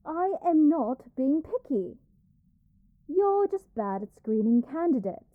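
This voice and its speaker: A woman's voice: high voice, big pitch swings, slow pace, no room sound, narrow, tinny audio, heavily acted. A woman playing an arrogant young princess in a dramatic audio roleplay, haughty, petulant, theatrical and given to complaint. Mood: indignant, haughty.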